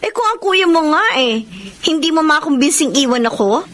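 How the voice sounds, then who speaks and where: An actress with a Filipino accent playing a smug, taunting, flirtatious mistress in a radio drama. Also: female voice, high-pitched, sing-song, moderate pace, a bit bright. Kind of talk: Tagalog radio drama acting.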